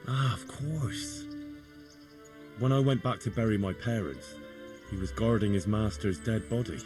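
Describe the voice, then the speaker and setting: An actor playing a curious, good-natured young blacksmith's son in casual dialogue from a medieval video game. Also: male voice, fairly low pitch, unhurried, music in the background, natural voice.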